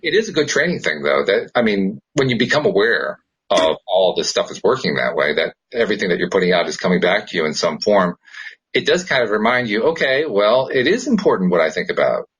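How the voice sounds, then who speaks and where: A man co-hosting a podcast in casual, reflective conversation, thinking aloud in long explanations with a husky voice. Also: lowish voice, big pitch swings, a little fast, a bit bright, rough recording. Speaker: podcast co-host.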